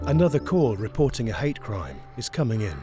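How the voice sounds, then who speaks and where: A man narrating a documentary in a measured, mid-pitched voice, with music beneath the narration. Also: very animated.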